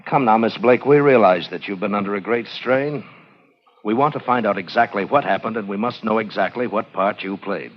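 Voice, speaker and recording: An actor playing a firm, authoritative police interrogator in an old radio drama, pressing for answers. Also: male voice, lowish voice, a little fast, close to an everyday voice. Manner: insistent, authoritative. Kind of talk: 1940s radio acting. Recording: dull old radio recording.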